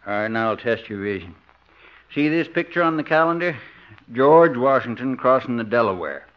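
An actor playing a folksy, self-important, bumbling license examiner with a drawl in a 1950s radio sitcom. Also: male voice, medium pitch, animated, normal speed, close to an everyday voice. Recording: muffled 1950s radio recording.

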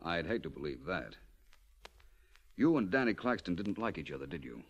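A dull, lo-fi 1940s radio drama recording carries a suave male detective. His voice is very deep and swings widely in pitch. He is urbane, wry and sure of himself, with theatrical flair. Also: very animated, moderate pace, slightly rough, full character voice. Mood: smooth, coolly flirtatious. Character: suave, self-assured male amateur detective.

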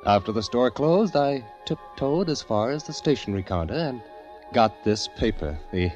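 A man's voice: medium pitch, lively intonation, normal speed, darkish tone, close to an everyday voice. An actor playing a penniless, dreamy poet reading out his diary in a florid 1940s radio style. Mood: secretive, pleased. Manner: dramatic, florid, exultant. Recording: dull vintage radio broadcast. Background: prominent music.

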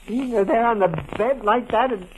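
An American actor playing a distraught, guilt-ridden doctor on the run in a 1940s radio mystery, stammering and repeating himself. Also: male voice, very high voice, a little mellow, a little hiss or hum, rough recording. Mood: panicked. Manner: stammering, halting, repeating words. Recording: old 1940s radio broadcast.

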